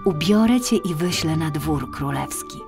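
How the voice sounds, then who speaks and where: A woman's voice: deep voice, expressive, a little slow, gravelly, music in the background, exaggerated voice. A woman voicing a young, kind fairy godmother with a sweet manner in a children's storybook tale. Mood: sweet, soothing. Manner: sweet, soft-spoken.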